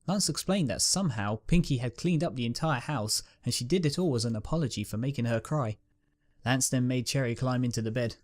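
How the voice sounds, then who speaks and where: A man narrating a fan-written pony story in a calm, steady reading voice. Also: medium pitch, brisk. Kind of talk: fanfic audiobook reading. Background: guitar music.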